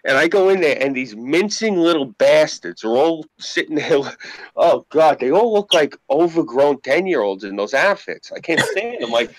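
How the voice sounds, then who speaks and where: A man in his late thirties with a deep voice, ranting casually and profanely with frequent laughter. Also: animated, normal speed, no room sound, rough recording. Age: late 30s. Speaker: podcast co-host. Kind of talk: casual chat.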